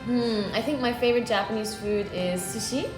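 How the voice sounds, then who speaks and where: A woman's voice: a little high, a little fast, music in the background. Young woman, echoey room. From Austria. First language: German. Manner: relaxed, thoughtful, many ums. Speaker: guest. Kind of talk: casual interview answers.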